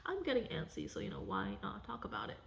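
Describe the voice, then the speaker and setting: Soft-spoken young woman, creaky, echoey room. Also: mid-range voice, very animated, fast talker. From Venezuela. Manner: soft-spoken, rambling, candid. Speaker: vlogger, cartoonist. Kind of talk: casual personal vlog.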